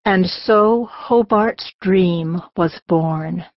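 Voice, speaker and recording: A woman reading a children's storybook as an audiobook narrator, in an expressive storytelling manner. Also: fairly low pitch, drawn-out words. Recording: dull, lo-fi audiobook sound.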